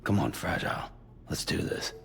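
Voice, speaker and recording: An actor playing a gruff, reluctant, taciturn hero with a gravelly voice in a cinematic video game. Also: male voice, moderate pace, fairly bright tone, close to an everyday voice. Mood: gruff refusal.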